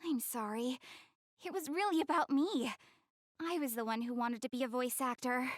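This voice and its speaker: A woman playing a proud, self-conscious young goddess girl in an anime-style game, expressive, easily flustered and earnest. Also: high voice, normal speed, no echo, studio quality. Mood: sheepish, confiding.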